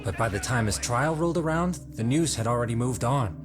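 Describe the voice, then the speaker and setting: Man acting a measured tech investigator, medium pitch. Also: expressive, over music, lightly acted. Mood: measured explaining. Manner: explanatory, measured. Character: measured, analytical male internet investigator. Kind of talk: video game cutscene voice acting.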